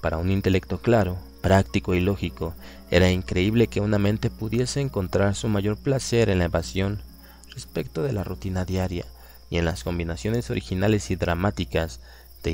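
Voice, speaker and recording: Low voiced latin american guy reading a spooky story, slow and serious, crickets chirping behind. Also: steady tone, darkish tone. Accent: Latin American Spanish. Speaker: audiobook narrator. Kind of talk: scripted literary narration.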